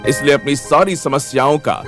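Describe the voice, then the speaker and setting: A man narrates a dramatic audio story. His voice sits at a medium pitch, with faint music underneath. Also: moderate pace.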